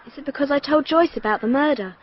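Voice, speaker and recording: A woman's voice: high-pitched, quick pace, close to an everyday voice. An actress playing an ethereal, trusting young British girl in a radio drama, wide-eyed and earnest. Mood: dreamy, uneasy. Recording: muffled radio recording with hiss.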